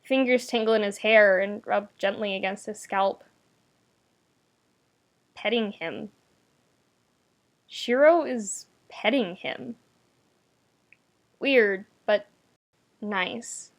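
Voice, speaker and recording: A woman reading a story aloud as narrator, with expressive, wry delivery and dramatic emphasis. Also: medium pitch, slow, pauses often. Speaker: podfic narrator. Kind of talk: expressive scripted fiction narration.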